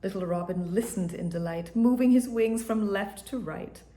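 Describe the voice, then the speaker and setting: A woman reading a children's picture book aloud with an expressive storytelling manner. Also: mid-range voice, a little hiss or hum. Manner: expressive, slow, storytelling delivery. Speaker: storybook reader. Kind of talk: children's read-aloud narration. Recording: echoey room.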